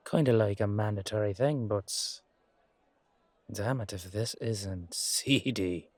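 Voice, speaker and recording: Guy acting as a tired loyal knight in a fantasy audio rp, low voice, really slow and rambly, dry close sound. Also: a little mellow, no echo. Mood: wry, musing. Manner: rambling, colloquial, musing with hesitant pauses. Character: weary, loyal fantasy knight guardsman, curious and down-to-earth. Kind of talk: roleplay audio drama monologue addressed to a partner.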